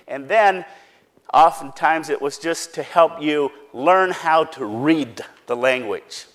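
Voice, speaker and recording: A man who grew up speaking Pennsylvania Dutch gives an earnest explanatory talk. His voice sits slightly above the usual male range. He lays things out plainly, one step at a time. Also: fairly high pitch. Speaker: speaker giving a presentation.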